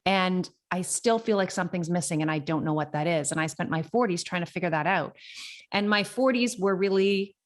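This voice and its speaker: A woman's voice: fairly low pitch, fairly quick. A woman of fifty talking candidly and conversationally in a reflective solo podcast, with emphasis on key words. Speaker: podcast host.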